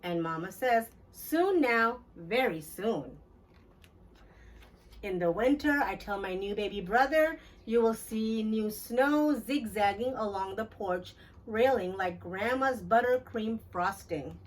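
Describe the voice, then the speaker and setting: A woman reading a children's storybook aloud in an expressive, deliberate storytelling manner for young listeners. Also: medium pitch, recorded from a distance, low-quality recording. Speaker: children's book read-aloud host. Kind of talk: scripted storybook narration for children.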